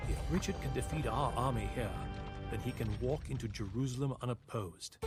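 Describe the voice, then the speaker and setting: A man acting as a captured crusader knight who tells his tale solemnly. His delivery is measured and formal, at an ordinary male pitch, with prominent music underneath, as in a strategy game cutscene. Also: lightly acted. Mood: grave, urgent. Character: captured Norman crusader narrating his years with Saladin, reflective, solemn. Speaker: campaign narrator. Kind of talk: scripted dramatic storytelling narration. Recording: voice over a clear music bed.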